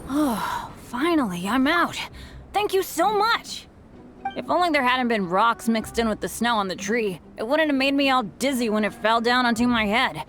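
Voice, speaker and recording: An earnest boy adventurer for a video game. The voice is high and bright, very lively in its pitch, with an eager, apologetic manner. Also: normal speed, slight background noise. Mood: grateful, sheepish.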